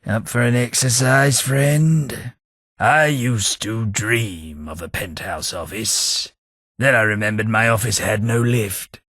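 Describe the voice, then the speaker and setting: An English actor voicing a posh, sardonic ghoul gentleman companion in a video game, with a plummy, dry delivery. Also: fairly low pitch, steady tone, slow, slightly rough, no echo, exaggerated voice. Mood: wry. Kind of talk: game voice lines.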